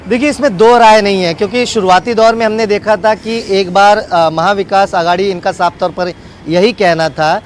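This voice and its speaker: A man's voice: high voice, steady tone, moderate pace, a little hiss or hum. A man giving a wordy, unscripted live news report as a field reporter, often repeating set phrases.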